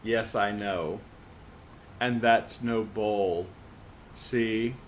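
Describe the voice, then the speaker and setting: A man's voice: on the low side, steady tone, drawn-out words, slight background noise. A man reading aloud as a witty, digressive female cow narrating her story. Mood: wry.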